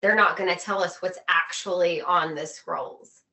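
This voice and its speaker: Low-voiced woman speaking earnestly. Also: steady tone, a little slow, pushed and bright. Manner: earnest, repeats phrases for emphasis. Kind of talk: casual opinionated conversation.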